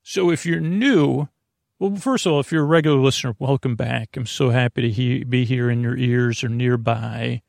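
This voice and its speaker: A man with a creaky voice hosting a sleep podcast in a rambling, halting, gently self-deprecating monologue that keeps wandering off mid-thought. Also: mid-range voice, no echo, clean studio sound.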